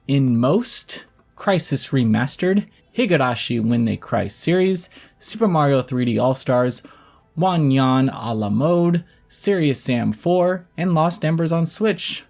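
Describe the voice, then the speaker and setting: A man's voice: mid-range voice, a little mellow. A man hosting a casual gaming news rundown, chatting informally and dropping jokey asides between items. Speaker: host of a gaming news show.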